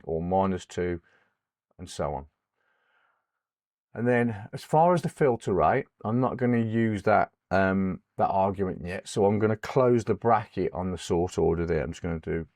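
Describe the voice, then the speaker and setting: A middle-aged man, hoarse from a cold, hosting a screen-recorded spreadsheet tutorial. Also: low voice, a little mellow, no echo, clean studio sound. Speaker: host of an Excel tutorial channel.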